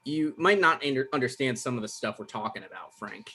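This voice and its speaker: A man acts out an anxious, defensive man in a drama read. His delivery is naturalistic and flustered, full of stammers and apology, at an ordinary middle pitch. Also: animated, fairly quick, pushed and bright, slightly rough. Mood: uneasy. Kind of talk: live table read acting.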